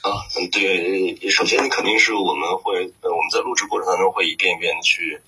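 A man's voice: sits low, steady tone, fairly quick, bright, forceful tone, a little hiss or hum, poor audio. A man working as a voice actor, giving reflective interview answers and hesitantly searching for words. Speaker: voice actor, guest.

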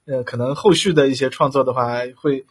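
Male host, live interview style, slightly husky voice, halting conversational phrasing. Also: mid-range voice, fairly even intonation.

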